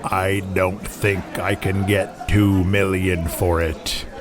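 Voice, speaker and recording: A man playing a broke, despondent veteran wrestler in an audio drama, speaking slowly and heavily. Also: low-pitched, little pitch movement, faint music behind. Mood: despairing. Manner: slow, heavy, downcast.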